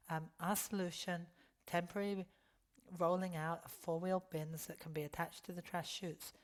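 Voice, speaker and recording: A hoarse woman who has lost her voice, giving halting testimony at a public hearing with frequent ums and restarts. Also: low voice.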